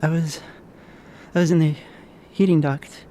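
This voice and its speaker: An actor playing a lonely, anxious young janitor in an audio drama, halting and dreamy. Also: male voice, a little high, fairly even intonation, warm tone, a little hiss or hum, natural voice. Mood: shaken, hesitant. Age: young adult. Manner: halting, hesitant, repeats words.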